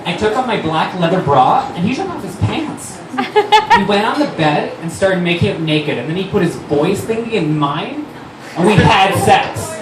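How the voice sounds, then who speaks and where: Theatrical man with wildly animated delivery. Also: sits high. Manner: theatrical, deliberately overdramatic. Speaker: writer-director and actor, performing reader. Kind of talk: comic dramatic reading of fan fiction. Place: on stage at a live fundraiser. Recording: echoey distant stage recording, noisy.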